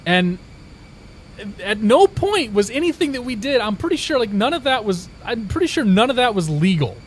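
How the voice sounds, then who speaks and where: A man's voice: extremely high pitch, very animated, normal speed, a little hiss or hum. A young man from Indiana, a live streamer, chatting casually with viewers and telling a story in a rambling way, with many restarts.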